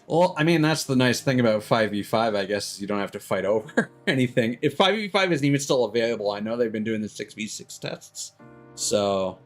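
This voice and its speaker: A man's voice: mid-range voice, expressive, fairly quick, faint music behind. A man doing casual, rambling livestream chat as a game streamer, with chuckles here and there.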